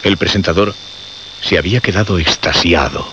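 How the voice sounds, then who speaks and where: An actor narrating a radio suspense drama in a grave, measured, dramatic way. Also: male voice, low-pitched, crowd noise, natural voice. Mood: grave, suspenseful. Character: grave male narrator of a horror tale. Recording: muffled radio drama recording.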